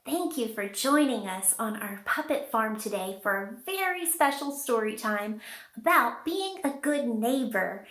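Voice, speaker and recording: A woman with a high voice tells an interactive story to small children in a roomy, echoey space. She takes her time, stretching words and pausing dramatically. Her delivery is sing-song and exaggerated, full of questions for the listeners. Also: expressive, fairly bright tone. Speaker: children's storytime host with puppets.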